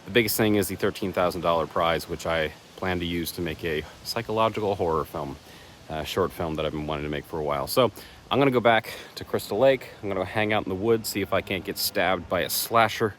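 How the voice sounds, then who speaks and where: A man with a very low voice films himself outdoors and chats to camera, rambling with fillers and poking fun at himself. His voice is a little raspy, and faint outdoor noise sits behind him. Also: fast, a bit bright. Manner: chatty, self-deprecating, rambling with fillers. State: slightly raspy voice. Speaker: horror contest vlogger. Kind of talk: casual vlog to camera. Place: outdoors in woods. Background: faint leaf blower and vehicle noise.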